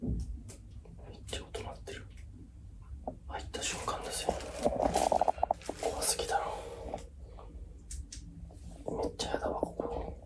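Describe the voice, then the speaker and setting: A man's voice: slow pace, long pauses, in a whisper. A man exploring a haunted place as a video host, reacting in a jittery, frightened way with exclamations and mutters. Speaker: host of a ghost-hunting video series. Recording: loud music under the voice. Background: loud music.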